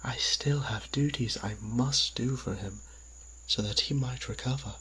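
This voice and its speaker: A man playing a gentle, devoted vampire priest in an audio drama, speaking earnestly and with careful phrasing. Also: mid-range voice, a little slow, husky, low-quality recording. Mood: worried. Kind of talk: audio roleplay drama acting.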